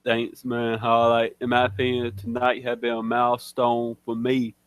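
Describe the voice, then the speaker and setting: A young man hosting a casual podcast, speaking haltingly with many fillers. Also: medium pitch, monotone, smooth, no room sound. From Mississippi. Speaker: podcast host. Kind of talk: casual chat.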